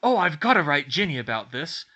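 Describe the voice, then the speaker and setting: Man as a loud, blunt, astonished schoolboy. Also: on the high side, very animated, fairly quick, highs cut off, voice pushed up, heavily acted. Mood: impressed. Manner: blunt, exclamatory. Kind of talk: audiobook character voice.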